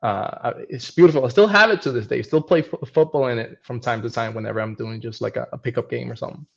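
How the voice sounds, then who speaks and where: Gravelly man from Venezuela on a call. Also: mid-range voice, fast, a bit bright, muffled recording. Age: 30s. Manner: hesitant, many restarts and fillers. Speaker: guest. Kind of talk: casual podcast chat.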